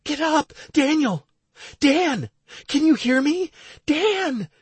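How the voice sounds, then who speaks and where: A man's voice: extremely high pitch, expressive, takes it slowly, no echo, voice pushed up, heavily acted. A man voicing an anxious, tearful wife in an audiobook, pleading urgently and emotionally. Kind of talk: audiobook character dialogue.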